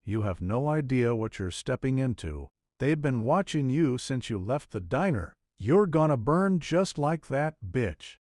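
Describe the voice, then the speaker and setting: A man's voice: medium pitch, very animated, measured pace, few pauses, a little mellow, no room sound. A wounded hired killer from a noir audiobook, acted by a man. He is defiant and hostile, spitting his threats in a dry, close recording. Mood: venomous. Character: wounded venomous male cartel hitman, defiant thug.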